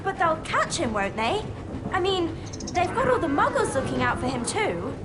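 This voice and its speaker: Earnest schoolgirl, very high bright voice, lively pitch, loud game noise. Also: moderate pace. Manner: earnest, bossy, worried. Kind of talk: video game cutscene acting.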